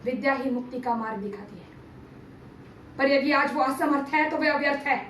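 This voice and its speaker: High-pitched woman declaiming a solemn monologue. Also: moderate pace, fairly bright tone. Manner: solemn, declamatory, formal Sanskritized diction. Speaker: amateur performer reciting a TV serial monologue. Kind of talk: theatrical dramatic monologue, oratory. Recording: roomy, distant mic, faint background noise.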